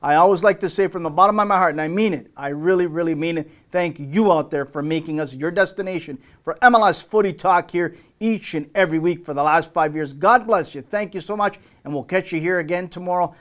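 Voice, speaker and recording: A man's voice: on the high side, fairly quick, hardly any pauses, a bit bright. A middle-aged man hosting a soccer talk show in an effusive, emphatic way. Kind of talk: sports radio hosting.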